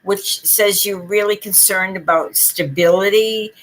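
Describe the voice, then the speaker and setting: A woman's voice: medium pitch, fairly even intonation, unhurried, a bit bright, poor audio. A woman with a Boston accent giving chatty, long-winded tarot readings on a live stream. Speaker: psychic reader.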